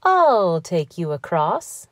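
A woman voicing a sly male fox from a children's storybook, speaking in a slow, coaxing way. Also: lowish voice, big pitch swings, no room sound. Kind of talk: children's storybook read-aloud.

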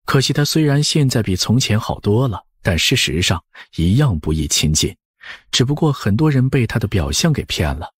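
A man's voice: on the low side, sing-song, normal speed, husky, no echo, studio quality. A man narrating an audiobook story from a script.

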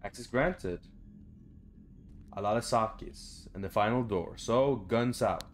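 A man's voice: lowish voice, takes it slowly, soft background music. A young man doing casual horror game commentary, muttering to himself in short phrases. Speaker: gamer, let's play host. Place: small room at home.

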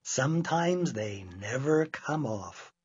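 A man doing a calm woman doctor. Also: extremely low pitch, takes it slowly, breathy edge, highs cut off, heavily acted.

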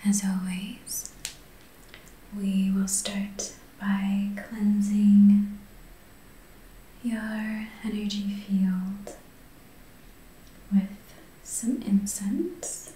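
A woman speaks in a hushed, breathy ASMR style, guiding gently and very slowly. Her tone is extremely mellow and soothing, with little rise or fall. The sound has a noticeable roomy echo. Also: mid-range voice, little pitch movement, a little hiss or hum. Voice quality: breathy, whispery, soft-spoken. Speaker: ASMR Reiki practitioner and host. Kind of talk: soft-spoken ASMR guided healing session.

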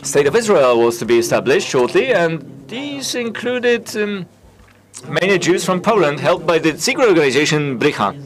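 A man giving a simultaneous interpretation of conference talks, halting and full of filler sounds and restarts. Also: a little high, big pitch swings.

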